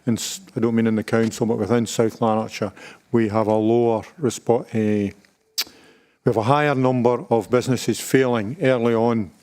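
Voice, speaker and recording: Halting councilman, mid-pitched. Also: steady tone, a little mellow. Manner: halting, restarts sentences, dry humour. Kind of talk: council debate. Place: council chamber.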